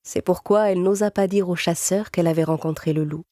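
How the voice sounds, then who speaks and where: A woman's voice: lowish voice, steady tone, brisk, warm tone, dry recording, clean studio sound. A woman narrator reading a scripted fairy tale for small children in a storytelling style. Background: music.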